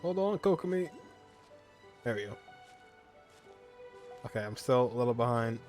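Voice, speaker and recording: A man's voice: medium pitch, lively intonation, moderate pace, darkish tone, faint music behind. A man giving casual reaction commentary while he plays a video game. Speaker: gamer playing on stream or video.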